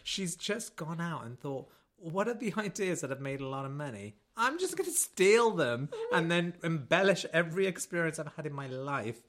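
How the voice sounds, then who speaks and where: Animated, bright-voiced man, excitable. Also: a little high, normal speed, few pauses. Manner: excitable, giggly, acts out past conversations. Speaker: podcast co-host. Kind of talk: casual, jokey chat.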